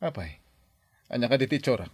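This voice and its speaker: A man's voice: medium pitch, sing-song, fairly quick, a little mellow, slightly rough, no room sound. A man playing a good-humored, forgetful businessman uncle in a radio drama, easygoing and a bit flustered. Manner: easygoing, chuckling, mildly flustered. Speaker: boss, uncle.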